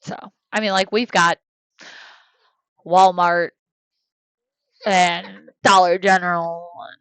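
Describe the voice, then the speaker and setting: Slow, rambling American woman streamer. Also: fairly low pitch, level tone, fairly bright tone, smooth, dry recording, poor audio. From United States. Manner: rambling, hesitant, many fillers, talks to her kids between points. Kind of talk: casual chat while playing a life-sim game live. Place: home room.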